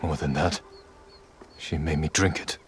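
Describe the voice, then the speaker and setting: A very deep, stern samurai. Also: male voice, steady tone, a little slow, soft background music. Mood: resolute. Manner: terse, resolute. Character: stern, determined samurai man from Tsushima. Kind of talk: dramatic video game cutscene acting.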